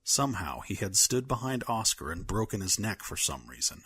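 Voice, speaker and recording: A man's voice: on the low side, big pitch swings, moderate pace, a bit bright, a little hiss or hum. A man reading scripted dramatic fiction as a narrator.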